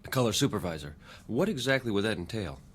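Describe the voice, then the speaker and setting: An actor playing an eager, harried young job seeker in a radio drama, polite and a little hesitant. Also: male voice, on the low side, big pitch swings, fast talker, a little hiss or hum. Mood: polite, nervous. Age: young adult.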